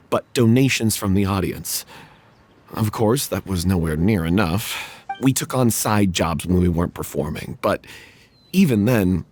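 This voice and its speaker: A man playing a troubled, regretful young stage performer in a video game, husky, hesitant and earnest. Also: fairly low pitch, a little mellow.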